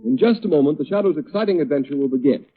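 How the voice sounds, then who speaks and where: A man's voice: on the high side, lively intonation, very fast, husky, phone-quality sound, faint music behind. A man performing as a dramatic, emphatic 1930s radio announcer on a thin old recording. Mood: earnest selling. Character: urgent, persuasive male announcer of a mystery serial.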